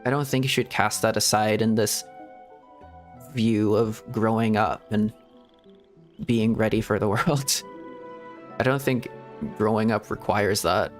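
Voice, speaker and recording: A man streaming a video game, thinking aloud in a rambling, reflective way with hedges and soft laughs. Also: fairly low pitch, steady tone, unhurried, pauses often, soft background music. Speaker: VTuber streamer. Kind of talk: casual gameplay commentary.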